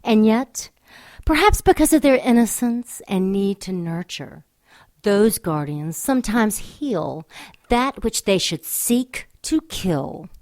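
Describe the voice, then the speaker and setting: A woman's voice: medium pitch, lively intonation, takes it slowly, darkish tone, no echo. A woman playing the solemn storyteller of a supernatural audio drama, delivering scripted dramatic narration.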